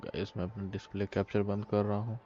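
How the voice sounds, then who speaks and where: Very fast-talking Indian man streaming. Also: on the low side, little pitch movement, mellow, lo-fi sound, a little hiss or hum. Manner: informal, mixes in English phrases. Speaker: game live streamer. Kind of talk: casual live stream chat.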